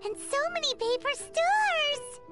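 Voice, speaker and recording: An excitable fairy sidekick from a fantasy video game. The voice is extremely high and childlike, unhurried in pace, and exclaims cheekily over loud music. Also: female voice, full character voice. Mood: excited. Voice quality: squeaky, childlike. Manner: exclaiming, third-person cute talk. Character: tiny floating fairy companion girl, cheeky and excitable.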